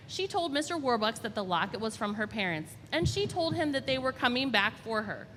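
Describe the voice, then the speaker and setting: A woman storyteller reading a children's storybook aloud in an expressive, deliberate way. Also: on the high side. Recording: reverberant hall, faint background noise.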